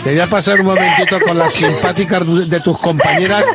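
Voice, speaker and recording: A man's voice: high-pitched, fairly even intonation, brisk, loud music behind the voice. A man with an accent from Spain, speaking as a radio host making a prank phone call. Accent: Spanish, from Spain.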